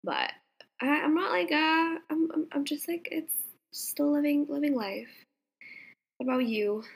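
A young adult woman co-hosting a podcast in casual chat, with an animated, slangy manner and lots of "like". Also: sits high, measured pace, vocal fry. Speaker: podcast co-host.

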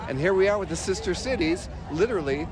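A man's voice: sits high, animated, fast talker, a crowd in the background. Enthusiastic man at a street parade. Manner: enthusiastic, expansive. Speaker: local musician, parade participant. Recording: music playing behind.

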